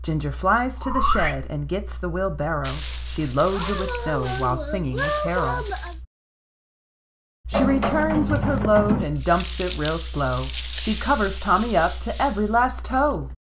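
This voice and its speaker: A woman narrating a children's picture book in an expressive, rhythmic read-aloud style. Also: lowish voice, a little slow, very noisy. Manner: rhythmic rhyming delivery, expressive. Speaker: storybook narrator.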